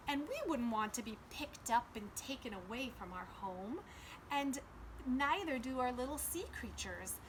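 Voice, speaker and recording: A woman's voice: fairly high pitch, noisy background. A woman preschool teacher reading and explaining simply and instructively to young children. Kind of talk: children's read-aloud and simple lesson.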